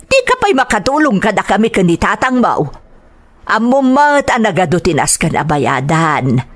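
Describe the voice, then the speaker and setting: A woman's voice: medium pitch, big pitch swings, normal speed, natural voice. A woman voicing a nagging, scolding mother who insists her grown son go work abroad, in an audio drama. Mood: scolding, insistent.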